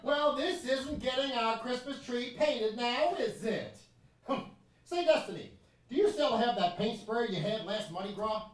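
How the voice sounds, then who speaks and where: A man's voice: extremely high pitch, expressive, measured pace. A man playing a blustering, put-upon husband and hapless handyman in a live radio-style comedy, exasperated and sarcastic. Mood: grumbling. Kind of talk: live old-time radio comedy acting. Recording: distant echoey stage recording, some noise.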